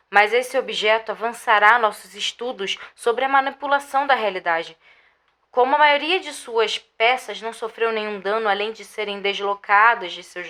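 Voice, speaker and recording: A woman playing a formal lead researcher, reading a scripted report in a measured way for an audio drama. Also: a little high, pushed and bright.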